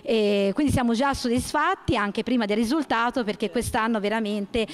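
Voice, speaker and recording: Brief: earnest, fairly high-voiced woman with some hesitant restarts, handheld mic, large gym hall. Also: moderate pace, a crowd in the background. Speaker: event organizer's representative. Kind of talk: explaining a charity event.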